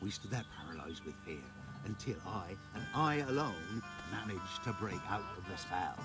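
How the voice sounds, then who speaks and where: A man's voice: on the low side, lightly acted. A man playing a boastful, idle tavern storyteller, telling tall tales in a theatrical, self-important manner. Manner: melodramatic, self-important, indignant when interrupted.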